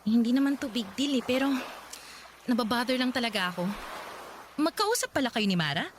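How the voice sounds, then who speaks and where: A woman's voice: a little high, animated, fairly quick, natural voice. Actress as uneasy young woman over noisy ambience. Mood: troubled, uneasy. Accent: Filipino. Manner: probing, hesitant. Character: uneasy, suspicious young working woman in love. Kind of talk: radio drama dialogue.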